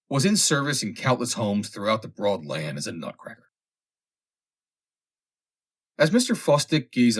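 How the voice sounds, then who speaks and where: A man with a husky voice reading a humorous vintage story as an audiobook narrator, in a theatrical, deliberate way with emphatic phrasing. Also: lowish voice, fairly bright tone.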